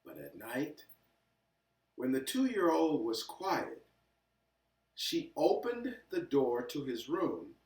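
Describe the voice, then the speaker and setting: A man hosting and telling a story in an earnest, deliberate way, with pauses and repeated phrases. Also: on the high side, huge pitch swings, darkish tone, breathy edge. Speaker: host and storyteller. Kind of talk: earnest address and read-aloud storytelling. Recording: echoey, distant microphone.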